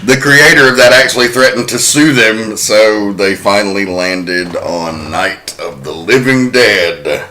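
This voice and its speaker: A man hosting a casual podcast with a fairly low voice and a bright, forceful tone. He talks in an informative way, laying out fact after fact. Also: unhurried, few pauses. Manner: informative, walks through researched facts. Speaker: podcast host. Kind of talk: casual film-history chat.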